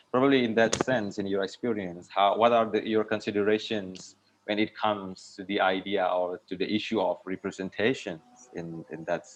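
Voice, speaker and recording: A man's voice: on the low side, rough recording. A man moderating an art discussion in a conversational way, often repeating and rephrasing as he works toward his point.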